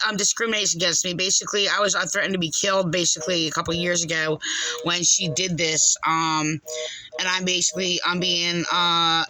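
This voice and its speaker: A woman's voice: lowish voice, rough recording. An agitated woman caller making a rambling, insistent, repetitive complaint over the phone.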